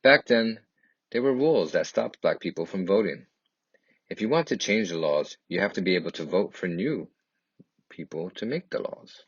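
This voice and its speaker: A man reads a children's picture book aloud in a husky voice, with expressive, emphatic and dramatic phrasing. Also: low-pitched, normal speed. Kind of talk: expressive read-aloud storytelling. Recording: dull, lo-fi, echoey room.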